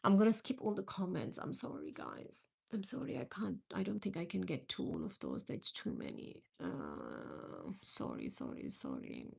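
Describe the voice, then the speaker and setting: Woman, middle-aged, from India, live stream host, chatty, deep voice, gravelly. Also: sing-song, normal speed. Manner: chatty, talks straight to viewers and answers their comments. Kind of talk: casual live-stream chat.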